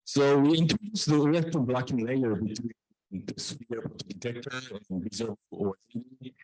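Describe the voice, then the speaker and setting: A man presenting research in a technical scientific talk, with a halting, hesitant delivery that often repeats phrases. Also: medium pitch, darkish tone, dry recording, low-quality recording.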